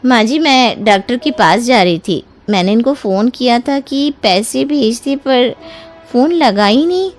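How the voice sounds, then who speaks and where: Woman playing a high, wheedling, sly young woman. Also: expressive, measured pace. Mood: meek, wheedling. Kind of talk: animated Hindi moral story dialogue. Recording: music behind the voice.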